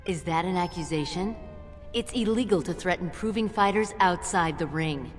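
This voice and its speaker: An actress playing a stern, hostile dwarf arena fighter in a video game, curt and commanding. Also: female voice, fairly low pitch, normal speed, close to an everyday voice. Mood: hostile, warning. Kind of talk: video game character acting. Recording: long reverb, music behind.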